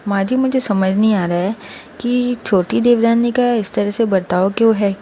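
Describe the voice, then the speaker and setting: Woman playing an earnest daughter-in-law, conversational, mellow, plain delivery, storybook, noisy recording. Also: medium pitch, normal speed, lightly acted. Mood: puzzled. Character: earnest, puzzled elder daughter-in-law, respectful. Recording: clear background noise.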